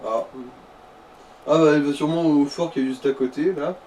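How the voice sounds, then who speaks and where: Male gaming co-commentator, mellow and wry. Casual slangy delivery, a little far from the mic, light background noise. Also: fairly high pitch, steady tone, brisk. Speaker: co-commentator guiding the player. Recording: slightly distant mic, faint background noise.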